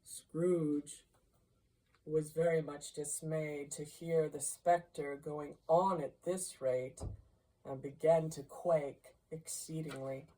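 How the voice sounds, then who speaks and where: A woman reading a classic story aloud as expressive, deliberate narration. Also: sits low, distant mic, poor audio. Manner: measured, deliberate. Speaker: storybook reader.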